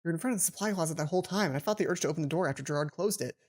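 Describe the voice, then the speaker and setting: A man's voice: fairly high pitch, racing, a little mellow, a little hiss or hum. A young man hosting a let's play, reading a visual novel aloud and adding casual commentary. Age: young adult. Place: small indoor home room. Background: game music.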